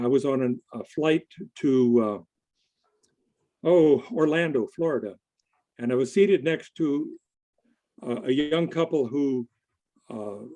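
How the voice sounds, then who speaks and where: Mellow, higher-pitched man presenting. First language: English. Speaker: conference presenter. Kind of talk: conversational presentation and question answering to a group. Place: online video meeting.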